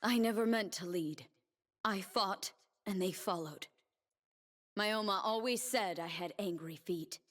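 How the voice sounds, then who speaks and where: A young female blade dancer for a video game. She speaks slowly and solemnly, with earnest resolve. Every line is measured and determined, the voice of a fighter devoted to her cause. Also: mid-range voice, a bit bright. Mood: solemn, resolute. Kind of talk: scripted video game character voice lines.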